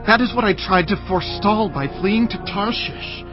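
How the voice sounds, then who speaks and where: Man acting an anguished, high-pitched prophet. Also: lightly acted. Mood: bitter, indignant. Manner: impassioned, pleading, drawn-out declamation. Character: anguished, fervent prophet praying and protesting to God. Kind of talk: dramatized scripture acting. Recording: dull band-limited audio under clear music.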